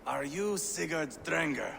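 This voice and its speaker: An actor playing a sadistic, swaggering Viking warrior in a video game, menacing and taunting, with a gravelly voice. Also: male voice, on the high side, expressive, unhurried, heavily acted. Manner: menacing, taunting, savoring words. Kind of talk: video game cutscene acting. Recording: game scene with clear background noise.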